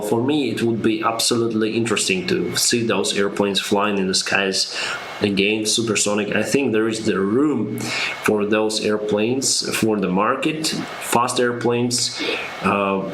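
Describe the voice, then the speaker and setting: Slow-talking man with a Russian accent. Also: fairly low pitch, a bit bright, echoey room, slight background noise, low-quality recording. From Ukraine. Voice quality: slow, halting speech with fillers. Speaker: airline pilot and streamer. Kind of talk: live-stream Q&A, reading viewer questions.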